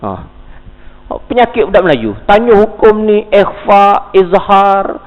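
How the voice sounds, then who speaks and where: A man's voice: sits high, lively intonation, slow pace. A man with a Malaysian Malay accent, a religious teacher giving an evening mosque lecture. He reads from a text, then explains it in casual asides with frequent "ha" interjections and rhetorical questions. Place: mosque hall. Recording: dull, reverberant mosque recording.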